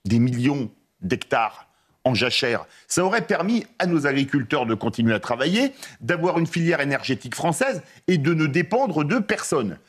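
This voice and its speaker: Husky emphatic man commentator. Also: a little high, big pitch swings, moderate pace. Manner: didactic, emphatic, rhetorical questions. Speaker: broadcast commentator. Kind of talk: opinion commentary.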